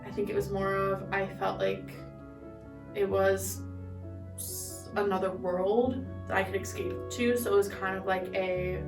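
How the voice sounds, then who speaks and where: Flat-voiced young woman, reflective. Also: medium pitch, measured pace. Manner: searching for words, restarts. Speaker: interviewee. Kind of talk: reflective interview answers. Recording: roomy, distant mic, music underneath.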